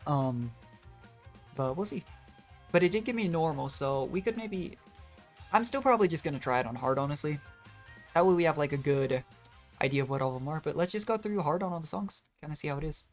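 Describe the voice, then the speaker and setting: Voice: mid-range voice, expressive, a little fast, pauses often. A streamer giving casual, self-deprecating commentary while playing a video game, with game music in the background. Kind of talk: casual gameplay livestream commentary.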